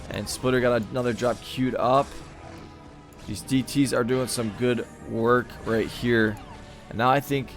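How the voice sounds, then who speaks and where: A man giving live commentary on a real-time strategy match in an easygoing, thinking-aloud way, and he is losing his voice. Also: mid-range voice. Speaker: game caster.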